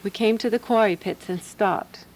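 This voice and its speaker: An actress playing a calm, practical woman detective in a radio drama, telling her case in a composed, deliberate way. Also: medium pitch, slight background noise, lightly acted, low-quality recording. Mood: measured narrating. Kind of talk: radio drama dialogue and first-person narration.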